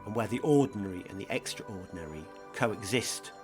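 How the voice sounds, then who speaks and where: A man narrating a scripted true-crime mystery in a measured, dramatic, portentous manner. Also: on the low side, expressive, music in the background. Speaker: narrator, host.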